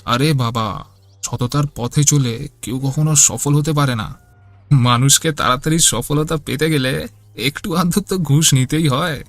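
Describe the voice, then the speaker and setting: A man's voice: on the high side, unhurried, fairly bright tone, no echo, faint music behind. A man voicing a greedy young married son in a cartoon, self-assured and dismissive. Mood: smug, impatient.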